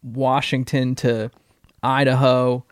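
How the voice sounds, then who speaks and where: A man around thirty hosting a podcast, relaxed and rambling, swearing casually. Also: mid-range voice, slow pace, no echo, clean studio sound. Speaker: podcast host.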